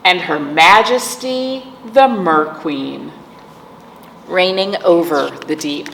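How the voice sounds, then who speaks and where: A woman hosting a storytime for children, reading aloud and chatting in a gentle, playful manner to young listeners. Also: on the low side, takes it slowly. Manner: gentle, playful, addressing young viewers as friends. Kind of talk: read-aloud and chat for children. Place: indoors, room with aquamarine wall. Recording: echoey room with clear background noise.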